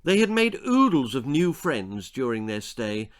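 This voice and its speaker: A man's voice: medium pitch, sing-song, unhurried. An English man reading a children's story aloud in a theatrical, relishing way, as dramatic narration. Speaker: author reading his own story.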